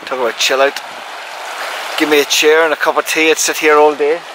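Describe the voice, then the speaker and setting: A man vlogging a road trip in a relaxed, musing way, with soft chuckles, talking outdoors on a coast. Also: a little high, a little fast, background noise. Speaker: travel vlogger. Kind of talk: casual vlog narration. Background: loud music.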